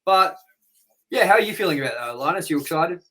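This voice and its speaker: Excitable male host, rambling. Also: fairly high pitch, fairly quick. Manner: rambling and excitable, with sound-effect noises. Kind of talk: casual episode wrap-up with plugs.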